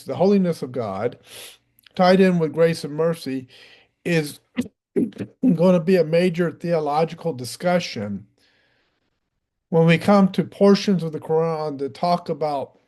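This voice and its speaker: A man explaining theology off the cuff in a deliberate, didactic way, with halting pauses and a habit of clearing his throat. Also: on the high side, dark tone. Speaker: religious teacher.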